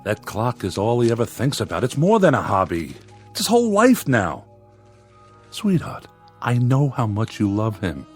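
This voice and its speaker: A man's voice: medium pitch, wildly swooping pitch, normal speed, slightly rough, soft background music. An actor playing a practical, exasperated but loving husband in a radio drama, insistent and reasoning as he talks. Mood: exasperated, coaxing.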